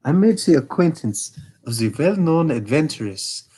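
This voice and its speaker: A man's voice: fairly high pitch, sing-song, normal speed, dark tone, husky, voice pushed up. A man voicing a proud, haughty Bohemian king with a put-on German accent, raised well above his own voice.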